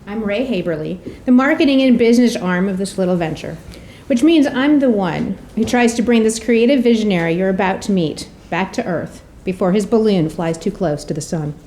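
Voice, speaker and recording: Middle aged lady doing an intro speech, warm mellow voice, wry storytelling, speaks clearly and a bit theatrical. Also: medium pitch, animated, moderate pace, few pauses, slight background noise, low-quality recording. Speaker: presenter introducing an author.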